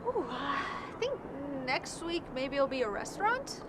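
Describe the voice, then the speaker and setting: Awkward, high-voiced young woman, noisy outdoors. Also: big pitch swings, unhurried. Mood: upbeat. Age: young adult. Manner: hesitant, self-deprecating, quirky. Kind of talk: naturalistic comedy series acting, conversational. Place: outdoors in a park. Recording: noisy outdoor ambience, traffic hum.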